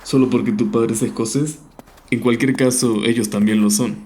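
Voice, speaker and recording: A man's voice: medium pitch, steady tone, moderate pace, dark tone, slight background noise, natural voice. A man playing a stocky young soldier in an amateur audio drama, questioning his comrade in a teasing manner. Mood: amused, questioning.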